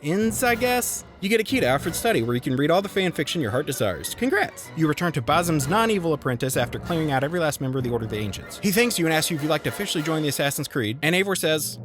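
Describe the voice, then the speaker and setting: A man narrating a scripted comedic game review in a wry, sarcastic way, jumping high in pitch for his jokes. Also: sing-song, rapid-fire, hardly any pauses, a bit bright. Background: music.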